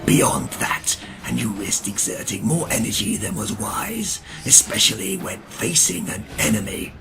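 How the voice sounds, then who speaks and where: A man playing a proud, grim, vengeful vampire in an audio drama, with a gravelly voice and brooding, theatrical delivery. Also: lowish voice, big pitch swings, unhurried, exaggerated voice. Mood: sly. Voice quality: gravelly, creaky. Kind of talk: dramatic first-person narration in an audio drama. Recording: music under the voice. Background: music.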